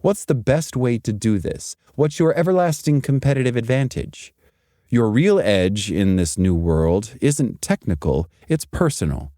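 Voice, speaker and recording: A man giving a scripted motivational career talk in an emphatic, deliberate manner with short punchy sentences. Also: mid-range voice, big pitch swings, mellow, dry recording, clean studio sound. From Zimbabwe and South Africa. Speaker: podcast host and career speaker.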